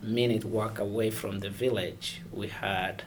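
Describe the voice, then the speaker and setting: A man from Somalia, answering interview questions haltingly and searching for words. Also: lowish voice, steady tone, slight background noise. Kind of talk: oral history interview.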